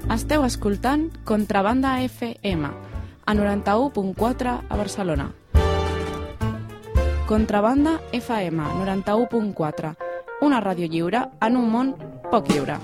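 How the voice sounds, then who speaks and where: A woman's voice: medium pitch, animated, unhurried. A woman reading a scripted radio station ident as its announcer. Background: loud music.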